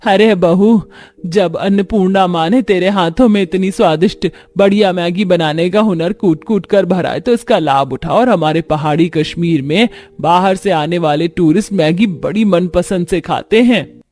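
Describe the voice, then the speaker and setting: A man's voice: high voice, normal speed, darkish tone, lightly acted. A man voicing an anxious, pious elderly mother-in-law from a poor household, lamenting and exhorting in a moral story. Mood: hopeful, urging.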